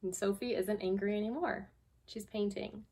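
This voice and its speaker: Female storyteller for a children's read-aloud, mid-range voice, simple and deliberate phrasing. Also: medium pitch, steady tone, gravelly, mellow, breathy edge. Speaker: guest storyteller, school staff member.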